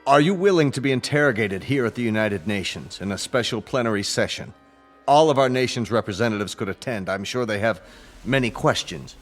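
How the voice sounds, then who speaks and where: Man playing a formal, dignified diplomat, mid-pitched. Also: lively intonation, brisk, soft background music, natural voice. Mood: polite, awed. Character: dignified male United Nations leader, formal, courteous. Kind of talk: radio drama acting.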